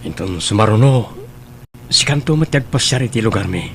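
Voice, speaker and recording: A man's voice: on the low side, expressive, moderate pace, fairly bright tone, a little hiss or hum. A man acting an earnest young suitor in love in an Ilocano audio drama.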